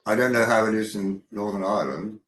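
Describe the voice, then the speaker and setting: A man's voice: lowish voice, fairly quick, a bit bright. A man in casual chat, reminiscing in a meandering way. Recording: roomy echo with faint hiss.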